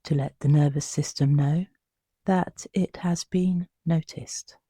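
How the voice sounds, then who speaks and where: A meditation guide with a soft, breathy voice, narrating a sleep meditation in a hushed, soothing, unhurried way with long pauses. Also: mid-range voice, fairly even intonation, no room sound, studio quality.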